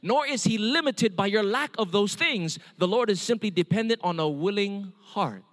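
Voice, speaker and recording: A man with a Hawaiian accent preaches his testimony with the fairly high voice of an evangelist. He tells his story earnestly, often stopping for an amen. His voice rings with a long reverb through a church hall. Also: expressive, moderate pace, fairly bright tone. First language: Hawaiian Pidgin. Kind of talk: testimony sermon.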